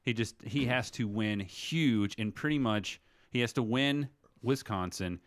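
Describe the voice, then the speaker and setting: A man's voice: medium pitch, a little slow, dry recording, studio quality. A man co-hosting a podcast, talking politics casually and explaining in a rambling way that circles back on itself. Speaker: podcast co-host.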